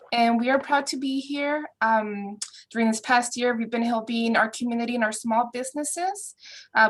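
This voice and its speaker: A woman with a somewhat high voice introduces her work. She speaks as a business officer addressing an online meeting. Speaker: credit union business development officer. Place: video call.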